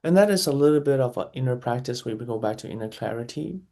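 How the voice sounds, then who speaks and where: A man's voice: medium pitch, expressive, quick pace, warm tone. A man speaking as an interview guest, giving earnest, reflective answers, repeating key phrases and often restarting his sentences.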